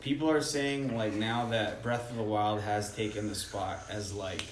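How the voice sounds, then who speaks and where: A man co-hosting a podcast in casual slangy chat, inquisitive and quick to swear. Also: lowish voice, fairly even intonation. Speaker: podcast co-host. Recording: roomy echo with faint noise.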